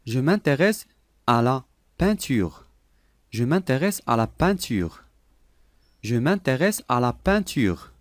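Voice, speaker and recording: A man reading short phrases slowly and clearly for learners, repeating each sentence three times. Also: medium pitch, very animated, no room sound, studio quality. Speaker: language lesson voice.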